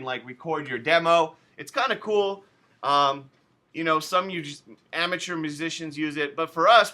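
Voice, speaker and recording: A man with a strikingly bright, forceful voice that sits a little high, talking a class through software. He is casual and chatty, dropping offhand asides as he clicks through each step. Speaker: teacher.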